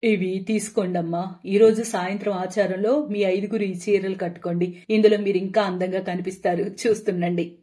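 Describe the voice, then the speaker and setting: A woman voicing a middle-aged mother-in-law in a cartoon story, kindly but firm, coaxing and measured. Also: medium pitch, fairly even intonation, warm tone, voice pushed down, natural voice.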